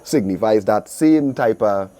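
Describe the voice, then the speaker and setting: A man with a Trinidadian accent hosting a casual interview, phrasing his thoughts in a halting, reflective way. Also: on the low side, expressive, a crowd in the background. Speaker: interview host.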